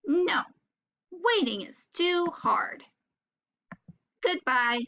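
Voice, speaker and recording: Woman doing an impatient, high-voiced storybook animal. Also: sing-song, drawn-out words, sharp tone, muffled recording, exaggerated voice. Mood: impatient, huffy. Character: impatient small animals, grumpy about waiting.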